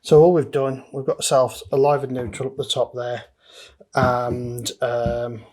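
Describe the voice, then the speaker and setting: A man's voice: mid-range voice, level tone, unhurried, a little mellow, rough recording. A man giving casual, matter-of-fact tutorial commentary, talking through each step as he works on electronics. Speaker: DIY electronics presenter. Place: indoor electronics workbench.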